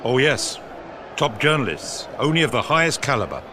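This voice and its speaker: Man acting a gruff, animated wartime official. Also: medium pitch, moderate pace, natural voice. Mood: brisk, cautioning. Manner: clipped, authoritative, dry. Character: gruff, opinionated senior government propaganda official. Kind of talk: period audio drama acting. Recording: outdoor sports crowd and noise behind.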